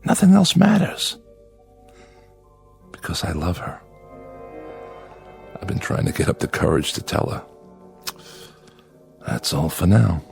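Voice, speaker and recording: A man's voice: sits low, huge pitch swings, takes it slowly, vocal fry, long pauses, a little mellow, soft background music, full character voice. An actor playing a lonely man devoted to his android companion, by turns yearning and defensive, in dramatic radio style. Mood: wistful, confessional. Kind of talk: dramatic radio acting with confessional narration.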